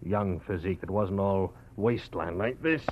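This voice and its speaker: A man plays a gangster boss from an old radio drama, low-voiced and blustering as he bargains. The audio is thin and phone-like. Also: normal speed, a little hiss or hum, natural voice. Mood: wistful. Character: smooth male gangster boss, wary and bargaining. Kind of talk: 1950s radio drama acting.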